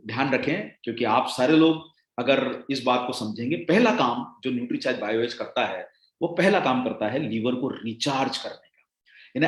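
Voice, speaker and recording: A man giving a product training talk, animated and emphatic, often addressing his listeners as colleagues. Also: on the high side, roomy sound.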